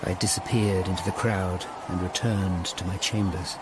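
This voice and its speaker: An actor playing a grave, dutiful Tudor lawyer-detective in a radio drama, speaking in a measured, formal and earnest way. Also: male voice, low-pitched, fairly even intonation, very noisy, natural voice. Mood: reflective. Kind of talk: radio drama acting and first-person narration.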